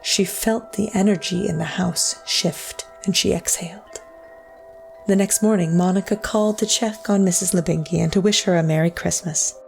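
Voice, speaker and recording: An actress playing a solemn storyteller, narrating a scripted dramatic tale in a measured, literary way. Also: female voice, fairly low pitch, fairly even intonation, gravelly, soft background music. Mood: somber. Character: solemn female storyteller of a sad ghost tale.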